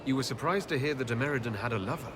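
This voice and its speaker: Composed game hero male actor, medium pitch, measured and inquiring, with loud outdoor ambience behind him. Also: background noise, natural voice. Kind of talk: video game dialogue acting.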